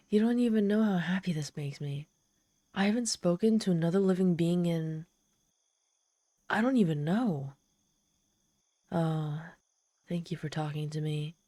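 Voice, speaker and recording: A woman's voice: on the low side, warm tone, dry recording. A woman playing a proud, lonely, desperate and socially awkward demon in a dramatic audio roleplay, haughty yet pleading. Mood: hopeful, relieved. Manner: haughty yet pleading, theatrical sighs and gasps. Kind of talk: dramatic ASMR roleplay storytelling.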